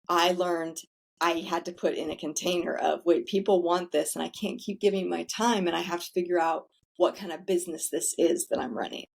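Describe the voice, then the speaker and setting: Candid, chatty woman with a lowish voice. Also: vocal fry, darkish tone, poor audio. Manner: candid, chatty storyteller who swears casually. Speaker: podcast guest, founder of a self-care center. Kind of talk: casual interview chat.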